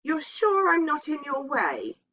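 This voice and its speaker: A woman's voice: high voice, huge pitch swings, measured pace, bright, forceful tone, voice pushed up, heavily acted. A woman voicing a reserved, decorous middle-aged housekeeper in a storybook reading, in a reticent, proper manner. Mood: timid. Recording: dull, muffled lo-fi sound.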